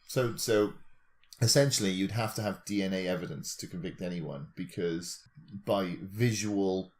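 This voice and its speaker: A man's voice: deep voice, normal speed, a little mellow. A man in a casual discussion, reasoning analytically aloud and posing rhetorical questions as a podcast co-host.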